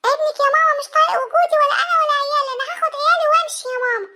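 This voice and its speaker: A proud, easily offended mother played by a performer in a family cartoon skit. Her pitched-up voice is high and bright as she protests with indignation. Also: racing, exaggerated voice. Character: touchy, proud mother defending her children.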